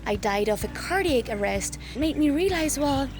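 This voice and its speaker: Young woman, from Germany, raised pitch, loud music underneath. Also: lively intonation, moderate pace. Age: young adult. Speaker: mindset coach. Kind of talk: talking to camera.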